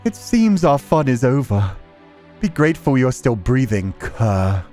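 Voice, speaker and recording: A man's voice: mid-range voice, very animated, a little slow, mellow, faint music behind. A man voicing an arrogant, villainous nobleman swordsman in a visual novel, taunting with theatrical contempt. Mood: mocking.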